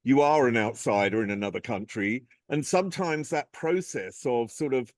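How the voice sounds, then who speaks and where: A man's voice: mid-range voice, moderate pace, no room sound, studio quality. A man hosting an interview, asking thoughtful, encouraging questions and sharing his own memories. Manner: thoughtful, encouraging questioner who shares personal anecdotes. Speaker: interview host.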